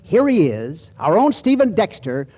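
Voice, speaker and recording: A man's voice: sits high, big pitch swings, measured pace, mellow, slightly rough. A man hosting a vintage 1940s radio broadcast, reading a droll, theatrical scripted introduction. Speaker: host and narrator.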